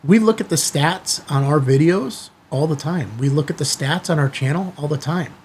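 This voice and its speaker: A man's voice: on the high side, moderate pace, darkish tone, husky. A man hosting a casual product review vlog, talking conversationally and emphatically in short bursts. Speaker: YouTube channel host.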